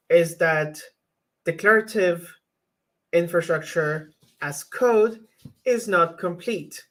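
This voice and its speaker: A woman whose first language is French, chatting casually in a vlog and giving candid, practical advice with frequent restarts. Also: on the low side. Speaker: YouTuber teaching cloud infrastructure.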